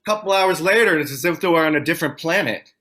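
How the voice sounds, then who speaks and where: A man's voice: on the high side, animated, quick pace, bright, forceful tone. A man playing an earnest oil rig worker and family man nearing forty, in a table read of a drama script. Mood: easygoing, firm.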